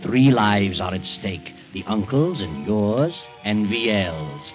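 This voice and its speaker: A man's voice: on the low side, expressive, takes it slowly, highs cut off. A man narrating a suspense drama in an ominous, portentous old-time radio style. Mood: probing, grave. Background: dramatic music.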